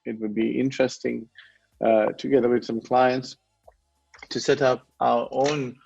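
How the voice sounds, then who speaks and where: A man's voice: mid-range voice, level tone, unhurried, gravelly, darkish tone, breathy edge, no echo, highs cut off, faint music behind. A man in his forties from Sri Lanka, a guest in a conversational interview who talks in a rambling way, corrects himself and chuckles often. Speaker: guest, wealth management founder.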